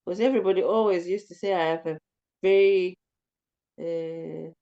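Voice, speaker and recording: A talkative woman with a low, commanding voice, leading a casual group discussion and speaking reflectively. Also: steady tone, normal speed, highs cut off. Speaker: group facilitator.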